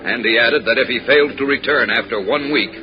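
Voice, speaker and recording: A man's voice: medium pitch, fairly quick, very noisy. A man giving dramatic scripted narration for a 1940s radio serial. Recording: dull 1940s radio recording over music. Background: loud dramatic music.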